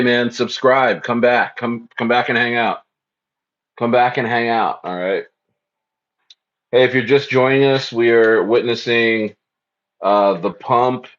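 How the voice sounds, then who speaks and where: A man's voice: mid-range voice, steady tone, measured pace, pauses often, a bit bright, highs cut off. A man hosting a casual crypto livestream, speaking loosely and repeating phrases as he reads the chat.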